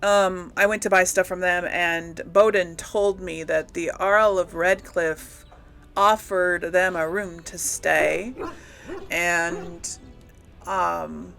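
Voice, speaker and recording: A woman's voice: on the low side, fairly even intonation, slow pace, creaky, fairly bright tone, faint music behind. A woman hosting a casual gameplay video, thinking aloud as she plays. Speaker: gaming video host. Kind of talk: casual role-play gameplay commentary.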